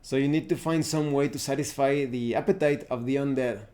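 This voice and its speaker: A man's voice: mid-range voice, fairly even intonation, fairly quick, mellow. A man giving an unscripted explanation to viewers as a tabletop gaming host, thinking aloud and often restarting his phrases.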